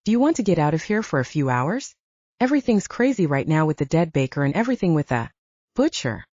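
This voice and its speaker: A woman voicing a flirtatious, pushy man in an audiobook, with a flattering, suggestive way of talking. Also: low voice, fast, no echo, muffled recording. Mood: flirty. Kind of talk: audiobook character dialogue.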